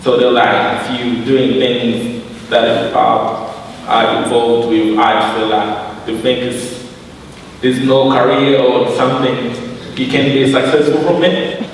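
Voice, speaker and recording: A man speaking informally in a halting, hesitant way, as in a spoken presentation. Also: medium pitch, steady tone. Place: large reverberant hall. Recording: very echoey hall, distant mic, faint noise.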